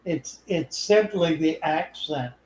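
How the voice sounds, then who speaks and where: An older man hosting a live stream, chatting in a slow, unhurried drawl. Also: a little high, animated, a crowd in the background. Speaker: host. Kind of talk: casual live stream chat. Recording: echoey room.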